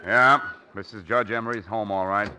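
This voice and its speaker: An actor playing a brusque, sarcastic police commissioner in 1940s radio acting style. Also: male voice, on the low side, steady tone, moderate pace, close to an everyday voice. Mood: businesslike. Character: gruff, sarcastic middle-aged police commissioner. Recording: muffled, noisy, clicking 1940s radio recording.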